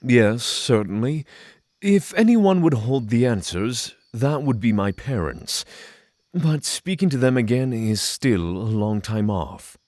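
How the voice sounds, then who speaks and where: A man's voice: mid-range voice, lively intonation, darkish tone, no room sound, clean studio sound. A man playing an earnest, cautious, reflective spiritual pilgrim in a fantasy audio drama, formal and hesitant. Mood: thoughtful. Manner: formal, hesitant, thoughtful pauses.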